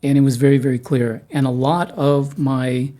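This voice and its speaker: A middle-aged man from South Texas, hosting a casual conversation, halting and repeating words, with a joking manner. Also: medium pitch, steady tone, mellow. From South Texas, USA. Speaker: host.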